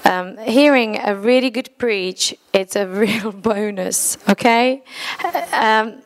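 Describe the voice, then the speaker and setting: A woman's voice: mid-range voice, takes it slowly. A woman from the Czech Republic, speaking English as a second language with a Czech accent. She addresses a church congregation earnestly, in slightly broken English, and laughs at her own slips.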